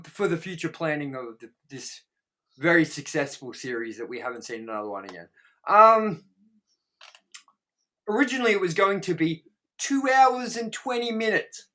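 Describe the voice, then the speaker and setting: A man's voice: a little high, pushed and bright. A man hosting a casual, sweary movie review show, talking in a sarcastic, exasperated way.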